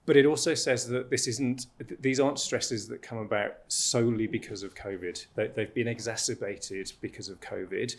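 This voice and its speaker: A man's voice: mid-range voice, steady tone, normal speed, hardly any pauses. A man facilitating a panel and presenting a report, with many fillers and restarts.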